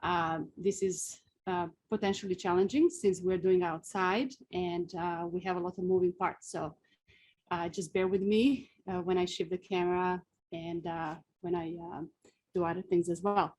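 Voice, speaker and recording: A woman in her forties from Serbia with a Serbian accent, a sculptor giving a careful, unhurried webinar presentation with frequent fillers, speaking outdoors. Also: lowish voice, level tone, a little mellow.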